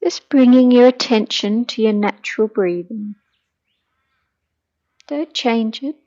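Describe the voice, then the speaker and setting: Slow, mellow woman guiding meditation, muffled sound. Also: fairly high pitch. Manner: gentle, soothing, hushed, breathy at times. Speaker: meditation guide. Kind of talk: guided meditation.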